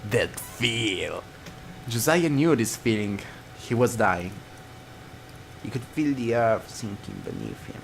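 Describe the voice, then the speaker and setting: A man's voice: lowish voice, very animated, slow pace, creaky, rough recording. A man reading a visual novel aloud as a let's player, narrating dramatically and dropping into casual asides. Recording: guitar music behind.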